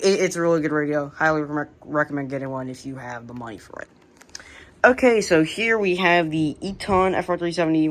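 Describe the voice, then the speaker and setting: An American woman casually showing a hobby collection, speaking in a halting, unscripted, plain way on an amateur home recording. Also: low-pitched. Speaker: radio collector presenting the collection. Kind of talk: casual hobby show-and-tell narration.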